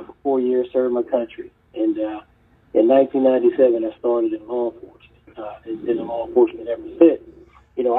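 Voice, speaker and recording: Husky-voiced man on a tinny phone line. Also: mid-range voice. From South Carolina, US. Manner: earnest, storytelling, many fillers and restarts. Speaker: guest, retired police chief and leadership coach. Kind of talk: casual podcast interview.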